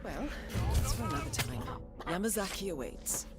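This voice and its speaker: Cool intelligence handler, deep female voice, measured, dry, steely, video game cinematic, music behind. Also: big pitch swings. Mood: cool, businesslike.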